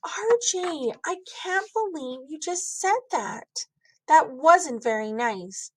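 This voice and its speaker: A woman's voice: high voice, expressive, slow pace, no echo, pitched above the performer's own voice, low-quality recording. A stern mother from a children's storybook, as a woman reader puts her on. She scolds her child in a firm, disapproving way, with very little room sound around the voice. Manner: firm, admonishing.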